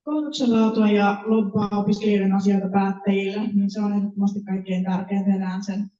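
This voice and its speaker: Female candidate, brisk pace, fairly flat intonation; dull, distant roomy recording. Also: medium pitch. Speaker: candidate on an election panel. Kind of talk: quick spoken answer to a panel question. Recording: dull, echoey room, distant mic.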